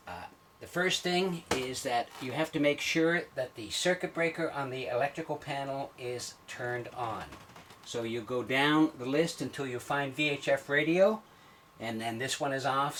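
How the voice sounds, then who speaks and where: A man in his sixties giving a step-by-step instructional demonstration in a plain, methodical way with hesitant pauses. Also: medium pitch. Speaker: sailing instructor. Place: small wood-panelled sailboat cabin at the navigation station. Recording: distant mic, clear background noise.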